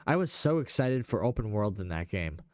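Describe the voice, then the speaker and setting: A man's voice: lowish voice, very animated, brisk, darkish tone, dry recording. Young man chatting in a dry-sounding space. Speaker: podcast host. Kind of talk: casual gaming chat.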